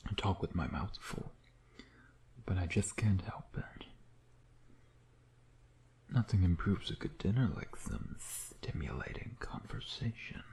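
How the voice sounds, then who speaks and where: A man playing a seductive, taunting vampire in an intimate ASMR roleplay, teasing and menacing, often sinking into breathy whispers. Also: low voice, darkish tone. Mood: amused, indulgent. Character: seductive, taunting male vampire toying with his hunter nemesis.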